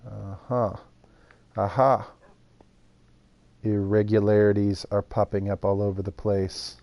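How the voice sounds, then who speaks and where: A man's voice: on the low side, little pitch movement, slow pace, dark tone. A man streaming a building game live, thinking aloud and chatting with viewers. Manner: thinks aloud while building, talks to chat. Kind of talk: casual live gameplay chat.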